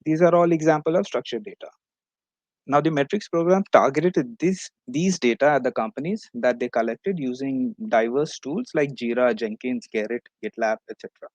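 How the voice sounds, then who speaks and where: Male academic presenter, medium-pitched, explanatory delivery of a prepared conference talk, recorded dry. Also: moderate pace, a little mellow, dry recording. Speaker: research paper presenter.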